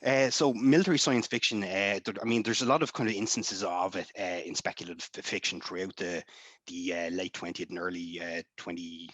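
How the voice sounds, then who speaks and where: A man's voice: low voice, steady tone, a little fast, a bit bright, no echo. An Irish man speaking on a convention panel, earnest and conversational, thinking aloud as he explains. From Ireland. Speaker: panelist, author.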